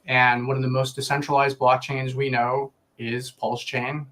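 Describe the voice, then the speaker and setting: A man giving a casual explanatory pitch on a stream, measured, in short phrases with pauses. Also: little pitch movement, fairly bright tone. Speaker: publishing platform representative.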